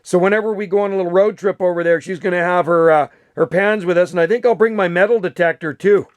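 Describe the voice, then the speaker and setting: A man's voice: sits high, quick pace, dry recording. A man chatting casually and enthusiastically as an amateur radio operator. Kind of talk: casual chat over radio.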